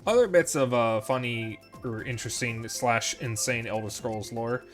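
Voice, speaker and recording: A man's voice: mid-range voice, moderate pace, faint music behind. Chatty man with a Chicago accent. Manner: chatty, explains lore in detail. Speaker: streamer. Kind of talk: casual gaming chat.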